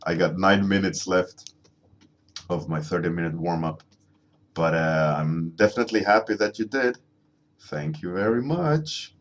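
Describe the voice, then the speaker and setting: Deep-voiced man chatting while drawing. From Sweden. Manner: rambling, halting, many ums and restarts, chuckling, answering chat. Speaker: artist streaming a drawing session. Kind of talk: casual livestream chat while drawing. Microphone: desk microphone.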